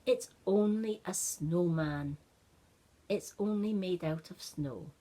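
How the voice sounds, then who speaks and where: A woman's voice: lowish voice, takes it slowly, mellow. A woman voicing an eager, puzzled little boy in a children's story, exclaiming and musing aloud.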